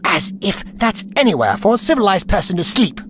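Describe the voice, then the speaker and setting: An actor playing a fussy, indignant elderly time traveller with a prim, pompous manner in an audio drama. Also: male voice, high-pitched, big pitch swings, fairly quick, background noise. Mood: irritated. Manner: pompous, prim, old-fashioned wording. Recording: music under the voice.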